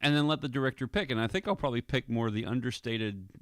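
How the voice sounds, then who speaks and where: A man's voice: medium pitch, fast, fairly bright tone, smooth, no room sound. A man giving casual, amused and easygoing commentary as an audio drama director on his vlog.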